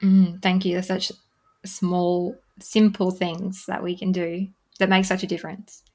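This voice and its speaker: A woman hosting a podcast interview, speaking in soft, encouraging interjections. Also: on the low side, flat delivery, a little slow. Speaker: podcast host. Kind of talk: casual interview.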